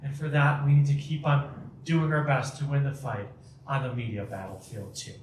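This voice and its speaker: A man from Chicago giving a public talk and taking audience questions, lively, with quick asides and restarts. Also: mid-range voice, noisy background. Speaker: guest speaker. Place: community center hall. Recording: echoey hall, mic at a distance.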